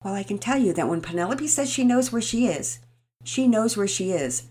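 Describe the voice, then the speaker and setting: A woman voicing a gentle, faithful mother who speaks softly and reassuringly. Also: medium pitch, animated, moderate pace, breathy edge, voice pushed up. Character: gentle, faithful middle-aged mother, calm and kind.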